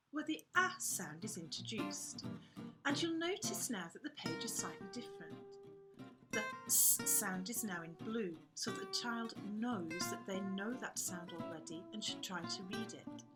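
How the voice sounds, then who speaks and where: Woman explaining, lively pitch over guitar music. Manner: careful, explanatory. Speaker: teacher demonstrating a phonics reading method. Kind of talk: instructional narration. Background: plucked guitar music.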